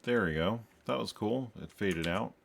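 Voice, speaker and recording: A man programming a game, thinking aloud while he codes, muttering to himself in halting, self-correcting bits. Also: lowish voice, creaky.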